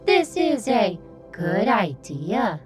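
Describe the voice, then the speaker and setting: A woman playing a wary female companion in a scripted audio drama, with drawn-out delivery. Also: mid-range voice, big pitch swings, over music.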